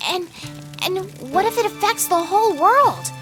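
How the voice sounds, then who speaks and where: Very high-pitched anxious girl. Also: animated, normal speed, a bit bright, faint music behind. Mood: troubled. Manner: girlish, plaintive. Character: anxious, emotional teenage girl, anime game heroine. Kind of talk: video game voice acting in anime dub manner.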